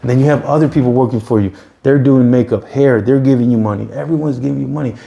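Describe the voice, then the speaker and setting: A man in his forties hosts a casual podcast chat. His voice is gravelly and mellow, and he talks in an earnest, repetitive pep-talk style with plenty of restarts. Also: mid-range voice, quick pace, lo-fi sound. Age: 40s. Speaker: podcast host.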